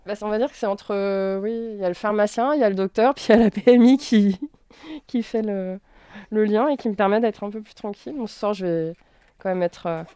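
Woman, interviewed mother, relaxed dark timbre, dry sound, spontaneous, hesitant phrasing. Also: medium pitch, brisk, smooth, no echo. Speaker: mother interviewed at a health centre. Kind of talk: casual spontaneous testimony.

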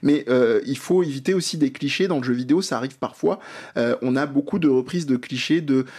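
A man in a radio discussion who speaks in a measured, hesitant way, pausing often with fillers as he works through his points. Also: mid-range voice, a little mellow, breathy edge. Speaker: panel guest.

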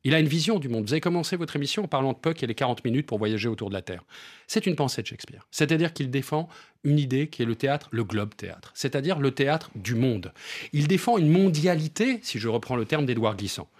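A man who grew up bilingual in French and Portuguese talks as a radio guest with a husky, slightly breathy voice. His pitch rises and falls widely as he presses his point, repeating words and leaning hard on key phrases. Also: fast. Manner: emphatic, repeats words, lively pitch swings. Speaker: theatre director, guest. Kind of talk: radio interview, animated explaining.

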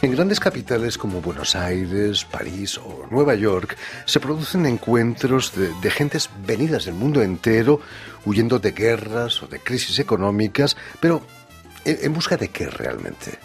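A man hosting a radio interview from a studio, introducing his guest in a measured, formal way. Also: fairly low pitch, very animated, faint music behind. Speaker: radio host.

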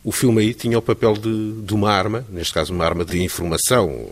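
A man with a very low voice conducts an interview. He asks his questions calmly and in a formal way. His tone stays even from one question to the next. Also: a little fast.

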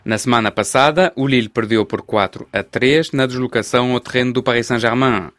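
A man working as a radio sports reporter, reading the news and putting questions to players in a measured, formal way. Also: mid-range voice, steady tone, fairly bright tone, dry recording. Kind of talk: scripted news reading and interviewing.

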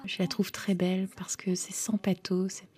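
Soft-spoken woman, Romanian native. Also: medium pitch, flat delivery, slightly rough. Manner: thoughtful, hesitant, many pauses and fillers. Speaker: novelist guest. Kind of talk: reflective interview answers.

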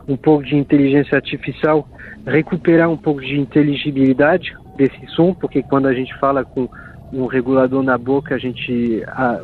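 A man from France explaining things in an interview, searching for words as he goes. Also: medium pitch. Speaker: interviewee, diver and project developer. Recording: narrow phone-like line, music behind.

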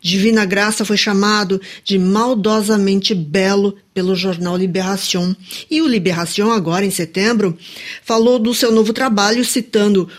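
Woman, Brazilian accent, radio interviewer, medium pitch, studio interview, partly scripted delivery. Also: steady tone, moderate pace.

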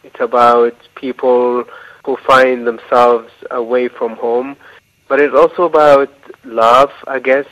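A man's voice: mid-range voice, a bit bright. Thoughtful man, muffled line. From Eritrea. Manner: thoughtful, halting, with restarts and fillers. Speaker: novelist guest. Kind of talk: reflective interview answers.

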